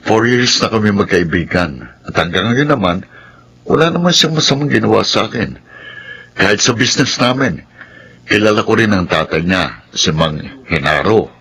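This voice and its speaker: An earnest, trusting young Filipino man in love. His voice is low and husky, with a Filipino accent, in romantic radio melodrama style. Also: lively intonation, moderate pace, fairly bright tone, exaggerated voice. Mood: trusting, friendly. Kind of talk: Filipino radio drama acting.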